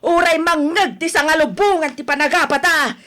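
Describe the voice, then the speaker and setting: An actress with an Ilocano accent playing a fed-up wife in a radio drama, scolding and nagging with emphatic exasperation. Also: female voice, high voice, moderate pace, pushed and bright. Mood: furious.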